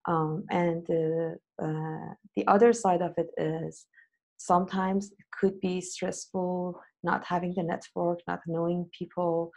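Creaky, low-pitched Persian-accented woman. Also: level tone, takes it slowly, a little mellow. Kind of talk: casual interview conversation.

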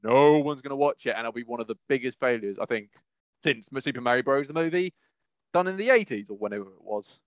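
A man's voice: medium pitch, lively intonation, fast, no echo, muffled recording. A man chatting casually as a podcast co-host, making his points with emphatic repetition and acted-out reactions. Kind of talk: casual chat.

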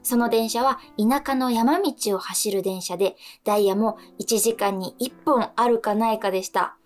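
A woman with a fairly high voice co-hosts a radio show. She chats lightly and reads letters from listeners aloud, with faint music playing behind her. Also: moderate pace, darkish tone.

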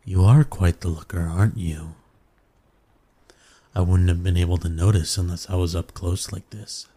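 A man voicing a seductive, smug incubus demon in an intimate ASMR roleplay, teasing and coaxing his listener with pet names in a husky voice. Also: fairly even intonation, moderate pace, warm tone. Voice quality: low, breathy, husky. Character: seductive male incubus demon, smug, dominant, soothing lover.

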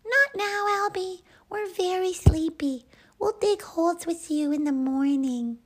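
A woman voicing sleepy little meerkats in a children's storybook, small and drowsy, gently refusing to play. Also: very high-pitched, animated, measured pace, pitched above the performer's own voice. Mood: sleepy, declining.

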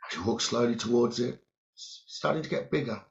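A man leading a guided meditation in short, halting phrases with long pauses and a creaky voice. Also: mid-range voice. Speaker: guided meditation leader, psychic medium. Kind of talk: slow guided meditation narration. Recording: dull, lo-fi sound.

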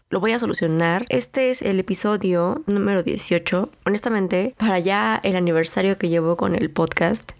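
Hoarse, chatty Mexican woman podcaster. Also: lowish voice, darkish tone. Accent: Mexican Spanish. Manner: chatty, informal, rambling with fillers. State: hoarse from the cold. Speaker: podcast host. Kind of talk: casual solo podcast monologue.

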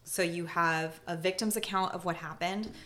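A woman's voice: on the low side, steady tone, moderate pace, a bit bright. A young woman speaking as a prosecutor in casual conversation, thoughtful and hesitant, with many fillers and restarts. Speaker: prosecutor, podcast guest.

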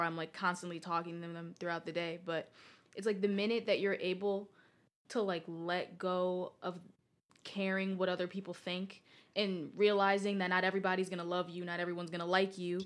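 A teenage girl in casual conversation, chatty and laughing often, with many "like" fillers. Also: on the low side. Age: teens. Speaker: guest. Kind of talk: casual chat.

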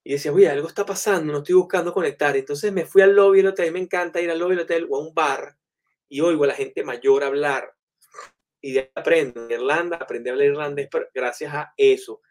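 A man telling animated, anecdotal stories in a relaxed conversation. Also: a little high, fairly quick. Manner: animated, anecdotal, many fillers. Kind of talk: casual storytelling in conversation.

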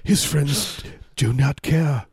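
A man with a hoarse, scratchy voice who is losing it, rambling through absurd comic riffs in casual podcast banter. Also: a little high, slow pace, a little mellow. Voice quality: husky, scratchy. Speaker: comedy podcast host.

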